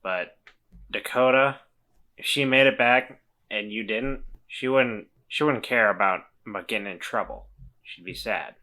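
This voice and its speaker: A man playing a worried, gentle friend who speaks haltingly and stammers as he tries to reassure. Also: mid-range voice. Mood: worried, reassuring. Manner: halting, stammering, repeats words.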